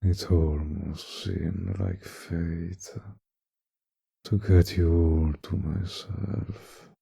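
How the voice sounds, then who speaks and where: Ancient male vampire played by a man for roleplay audio: very low, heavy gravel, courtly seduction with predatory menace, unhurried. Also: fairly even intonation, dark tone, heavily acted. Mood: hungry, menacing. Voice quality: deep, gravelly, breathy, dropping into whispers. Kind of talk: intimate roleplay audio drama.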